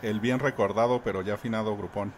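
A man hosts a podcast interview, friendly and leaning on fillers as he shapes his questions. His voice sits fairly low. Faint noise hums underneath. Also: steady tone, quick pace. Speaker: podcast host. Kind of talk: casual interview hosting.

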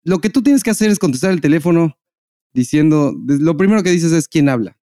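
A man's voice: on the high side, animated, brisk, warm tone, no echo, studio quality. A Mexican man hosting a podcast, chatting casually and informally with slangy wording. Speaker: podcast host. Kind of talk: casual conversational chat.

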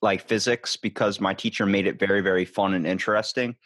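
Rambling male podcast host, low voice. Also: fairly even intonation, fairly quick, a bit bright, no room sound, studio quality. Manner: loose, rambling, jokey. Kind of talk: casual interview chat.

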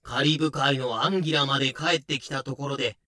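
A man's voice: medium pitch, fairly quick, pushed and bright, dry recording, studio quality. A man giving scripted, comedic narration for a pirate audio drama.

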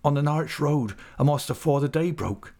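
Man voicing an anguished young fisherman, raised pitch. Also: lively intonation, normal speed, warm tone. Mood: faltering, anguished. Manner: pleading, faltering. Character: young working-class fisherman, anguished, deferential, honest. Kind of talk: dramatised audiobook character.

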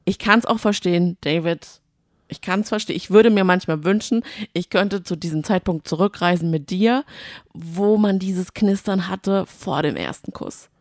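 A woman's voice: fairly low pitch, moderate pace, darkish tone, no room sound, studio quality. A woman co-hosting a casual podcast, chatty and teasing in manner. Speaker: podcast co-host.

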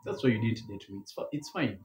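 Chill young kenyan guy on a podcast, talks low and easy, roomy echo. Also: a little fast, darkish tone. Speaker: podcast co-host. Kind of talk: casual banter. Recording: echoey room.

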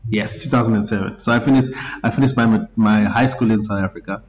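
Relaxed man in his thirties. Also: on the low side, fast, muffled recording. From Zimbabwe. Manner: relaxed, laughs easily, self-correcting. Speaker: guest musician. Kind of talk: casual interview talk.

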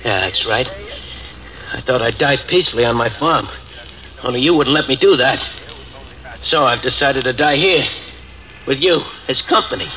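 A dying ex-convict man, played by an actor, cold and menacing, with a very bright, cutting voice. He taunts slowly and scornfully. The radio sound is dull, with a faint hiss. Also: medium pitch, close to an everyday voice. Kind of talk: 1940s radio acting.

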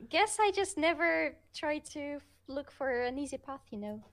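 A woman's voice: high-pitched, lively intonation, moderate pace. A woman whose first language is Russian, a streamer chatting as a guest in a casual interview, talking freely with frequent laughs and hesitant fillers.

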